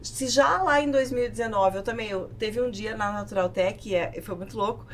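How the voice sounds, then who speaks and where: A woman with a southern Brazilian accent telling stories in an animated, casual podcast conversation, acting out what people said. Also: mid-range voice, normal speed, a little hiss or hum. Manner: animated storytelling, acts out quoted remarks. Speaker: podcast guest, business owner.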